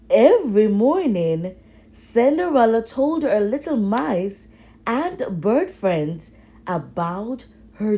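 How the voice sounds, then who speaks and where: A woman reading a children's storybook aloud in an expressive, dramatic manner. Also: fairly high pitch.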